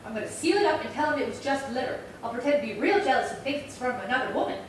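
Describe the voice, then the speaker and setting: A woman playing a scheming, excitable, dramatic housewife in a 1950s radio comedy, recorded from afar in an echoey hall. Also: high voice, very fast. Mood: gleeful scheming. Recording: echoey hall, distant mic, faint noise.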